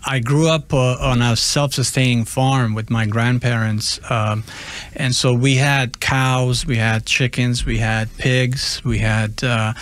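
A man's voice: mid-range voice, steady tone, slow, a bit bright. A man in his forties, born in Serbia, telling his story as a chef guest in a radio studio, with many fillers and restarts. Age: 40s. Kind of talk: interview storytelling. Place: radio studio, seated at table. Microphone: studio boom mic.

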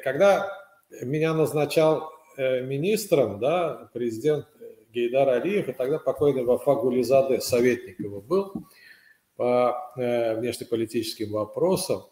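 An older man from Azerbaijan talks as a guest over a webcam call, measured and hesitant, pausing often as he tells his stories. His voice sits at an ordinary pitch. The sound bounces around an echoey room at home. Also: darkish tone. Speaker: guest, former foreign minister. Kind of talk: casual interview over video call. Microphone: webcam on video call.

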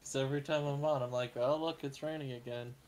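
A man chatting casually while livestreaming a game, rambling and swearing freely, with a booming voice. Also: fairly even intonation, quick pace, a little mellow. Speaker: streamer. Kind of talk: casual gaming stream chat.

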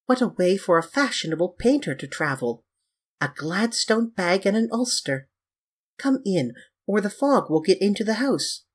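A man voicing a flippant, petulant young English gentleman with an airy, affected, dismissive manner in an audiobook story. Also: high-pitched, sing-song, normal speed, husky, voice pushed up, exaggerated voice.

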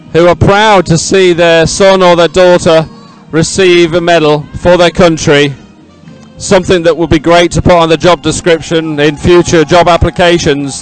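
A man's voice: a little high, rough recording. A man giving live, ad-libbed sports commentary in a chatty, rambling, enthusiastic way.

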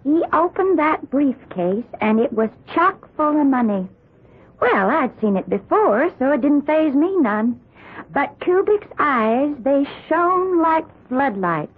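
High-voiced, opinionated housekeeper. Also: female voice, big pitch swings, slow, full character voice. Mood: indignant, emphatic. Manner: folksy, emphatic, gossipy. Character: opinionated, plain-spoken housekeeper testifying, loyal to her employer. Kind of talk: 1970s radio drama acting. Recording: muffled 1970s radio broadcast.

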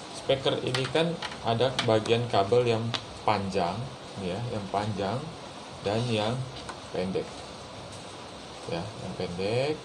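A man giving a casual hands-on tutorial, explaining step by step in an informal, halting way. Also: medium pitch. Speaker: motorcycle vlogger presenting a how-to. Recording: noticeable background noise, room ambience.

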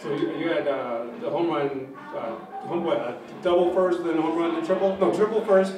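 A man's voice: on the high side, fairly even intonation, normal speed, far from the mic. A male sports reporter asking rambling post-game questions. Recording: echoey room, off-mic.

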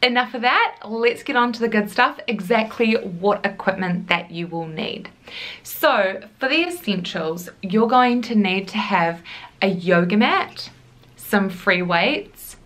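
A woman's voice: mid-range voice, a bit bright. A young woman, chatting upbeat straight to camera in her living room. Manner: chatty, upbeat, addresses viewers directly. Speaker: fitness vlogger. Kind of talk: casual talking-to-camera vlog.

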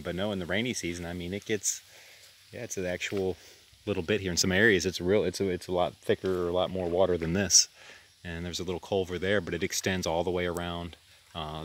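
A man giving a relaxed, rambling tour of his farm by a creek, filmed on a handheld camera outdoors. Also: deep voice. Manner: rambling, relaxed, self-deprecating with restarts. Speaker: farm vlogger. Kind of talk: casual walk-and-talk farm tour.

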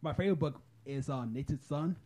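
Laid-back, dark-voiced man rambling. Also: mid-range voice, a little fast. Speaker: podcast co-host. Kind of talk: casual chat. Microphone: phone livestream.

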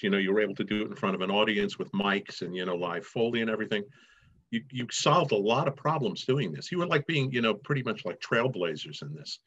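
A man's voice: fairly low pitch, fairly bright tone, husky. A middle-aged man chatting casually as an advisor, encouraging and joking, on a video call. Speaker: faculty advisor. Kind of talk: casual chat. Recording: muffled video call audio.

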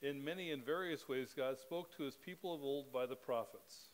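A middle-aged man leading a spoken liturgy as a pastor, reading in a measured, steady cadence at a church pulpit microphone. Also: medium pitch, slight background noise.

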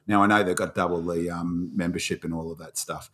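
An Australian man with a croaky voice from screaming, ranting in casual podcast chat as a panelist. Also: deep voice, little pitch movement, a little fast, fairly bright tone. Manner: fired-up, ranting.

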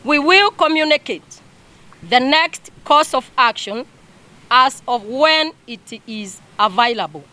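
Slow, high-pitched Kenyan woman reading a statement. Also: lively intonation, pushed and bright. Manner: formal, deliberate. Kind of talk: reading a prepared press statement. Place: outdoors among a group of people. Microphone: cluster of handheld press microphones.

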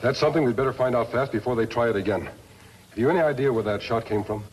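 A man playing a calm, commanding masked western hero in a 1950s radio drama, authoritative and decisive. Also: mid-range voice, quick pace, natural voice. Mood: urgent, probing. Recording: slightly noisy 1950s radio recording.

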